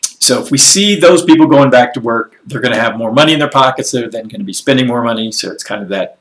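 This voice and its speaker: A man's voice: mid-range voice, fast talker. A man giving a casual weekly market recap as a financial advisor. He is a little congested and froggy, and he rambles with lots of fillers and blunt asides. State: slightly congested and froggy after a workout. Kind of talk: casual solo commentary on news and markets.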